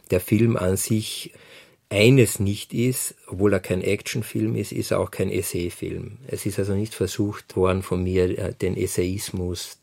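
A man explaining his work in an interview, speaking in a halting, deliberate way. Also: low-pitched, gravelly, a little mellow. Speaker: filmmaker being interviewed.

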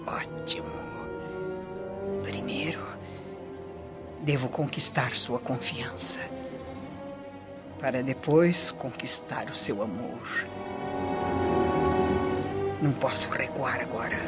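A woman's voice: very deep voice, slow, pauses often. A woman acting a scheming, vengeful Roman noblewoman in a radio drama, with formal, theatrical, insinuating delivery. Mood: coldly vengeful. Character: scheming vengeful Roman noblewoman, falsely confiding prefect's wife. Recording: muffled lo-fi recording under loud music.

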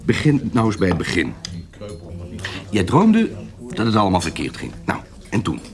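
An actor playing a sceptical, bantering rogue and companion in a historical radio drama, sounding teasing and incredulous. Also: male voice, fairly low pitch, huge pitch swings, moderate pace, gravelly, crowd noise, heavily acted. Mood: breezy, soothing. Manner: bantering, incredulous, swooping emphasis.

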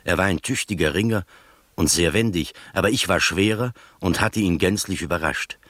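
A composed gentleman narrator tells his own adventure in a radio play. His voice is deep and recorded very dry. The delivery is scripted, literary storytelling. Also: normal speed, dry recording. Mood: measured, recounting.

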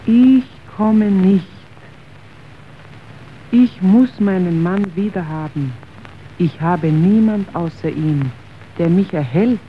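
An actress playing an anguished, stubborn working-class wife in a radio drama, speaking emphatically and accusingly. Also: female voice, on the low side, slow pace, pauses often. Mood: bitter, defiant. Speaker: actor. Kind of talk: German radio drama acting. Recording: dull, noisy old radio recording.

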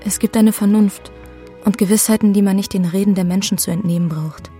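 Dark-toned, earnest woman, played by an actress. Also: medium pitch, fairly even intonation, fairly quick, faint music behind, lightly acted. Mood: earnest, firm. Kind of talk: German radio play acting.

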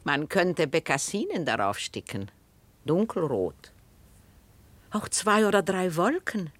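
An actress playing a calm, musing knitter who probes gently and insistently, speaking deliberately and lyrically in a literary radio drama. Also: female voice, on the low side, dry recording, exaggerated voice. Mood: musing, dreamy. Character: calm, musing, probing listener absorbed in knitting.

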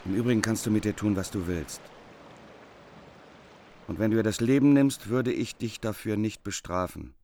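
A man's voice: fairly low pitch, normal speed, long pauses, mellow, slight background noise, natural voice. An actor playing a humiliated, anxious king with a plaintive, pleading manner in a literary radio drama. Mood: grim, wary.

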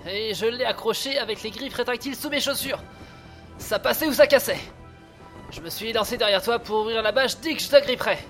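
A man's voice: very high-pitched, fairly quick, a bit bright, faint music behind. A man playing a kindly, eager inventor and devoted brother in an audio drama, chatty and a little hesitant. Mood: excited, caring.

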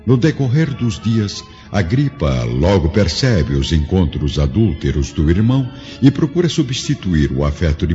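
A man's voice: low voice, mellow. A man with a Brazilian accent giving solemn, literary, dramatic narration. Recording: dull lo-fi audio over faint music.

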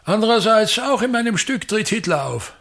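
An actor playing a pompous, embittered old touring actor-manager in a radio play, declaiming grandly with a gravelly, husky voice. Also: male voice, high-pitched, big pitch swings, normal speed, fairly bright tone, full character voice. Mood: condescending, grandiose. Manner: declamatory, grandiose, emphatic repetition. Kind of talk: theatrical radio play monologue.

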